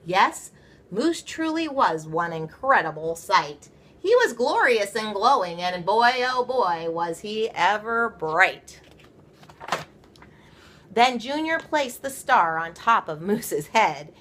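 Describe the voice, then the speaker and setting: A woman reading a children's story aloud, with playful, theatrical emphasis and drawn-out words. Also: medium pitch, sing-song, fairly bright tone. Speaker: storybook reader. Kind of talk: animated children's read-aloud.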